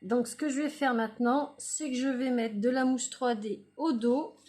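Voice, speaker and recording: High-voiced chatty woman crafting. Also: normal speed. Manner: chatty, hesitant with many fillers; quiet, murmured while working on the craft. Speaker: crafting YouTuber. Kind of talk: casual card-making tutorial.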